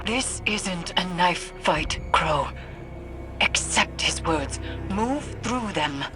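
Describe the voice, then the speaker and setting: An actress playing a grave, commanding mentor in a video game, solemn and instructing. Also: female voice, on the low side, expressive, slow pace. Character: grave, commanding female mentor guiding a troubled man. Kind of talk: dramatic video game acting. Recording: loud music under the voice.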